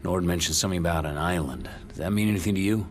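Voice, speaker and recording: An actor playing a gruff, sardonic mutant antihero in a video game, with terse, tough delivery. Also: male voice, fast, lightly acted. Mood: gruff, determined. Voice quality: gruff, low. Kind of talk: video game cutscene acting. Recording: game music behind the voice.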